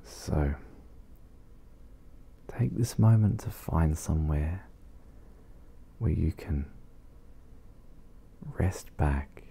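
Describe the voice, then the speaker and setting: A man leading an ASMR guided meditation in a soft, soothing, breathy voice with long pauses. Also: extremely low pitch, expressive, a little hiss or hum.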